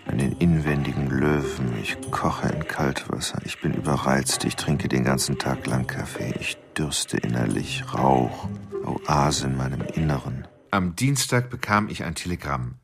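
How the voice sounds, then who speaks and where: A brooding male killer in a radio drama monologue. The voice is very deep and slow, with a heavy creak. He is intense and halting, often sinking toward a whisper over faint music. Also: animated, full character voice. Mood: tense, brooding, then obsessed. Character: obsessive, brooding male killer confessing his crimes.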